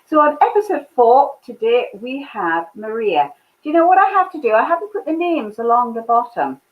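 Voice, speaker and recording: A woman's voice: on the high side, very animated, moderate pace. A woman hosting an online interview show, chatty and upbeat as she welcomes her guest. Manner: upbeat, chatty welcome with short warm murmurs of agreement. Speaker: host. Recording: phone-like lo-fi sound.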